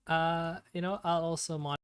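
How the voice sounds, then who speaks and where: A man chats on a live stream in a slightly high, smooth, clear voice. He talks slowly and nervously, tripping over his words and filling gaps with ums. His desktop mic sounds dry and close. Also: dry recording. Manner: nervous, stammering, many fillers. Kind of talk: casual live-stream chat. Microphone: desktop streaming mic with noise gate.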